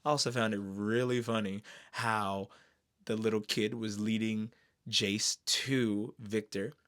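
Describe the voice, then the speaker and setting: A man giving casual, excited fan commentary in a slangy, expressive way, throwing in exclamations. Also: on the low side, a little slow. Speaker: streamer reviewing an animated series.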